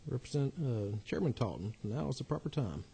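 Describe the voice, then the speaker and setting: Mellow-voiced man chairing a hearing. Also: medium pitch, animated, brisk, creaky, a little hiss or hum. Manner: procedural, courteous. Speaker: committee chairman.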